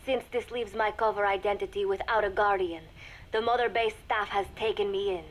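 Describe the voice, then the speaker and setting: A woman voicing a composed young female spy in a video game audio log, speaking formally with measured, deliberate diction. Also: mid-range voice, steady tone, natural voice. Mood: cool, calculating. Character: composed young female spy secretly reporting to a shadowy master. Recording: noisy cassette tape recording with ambience.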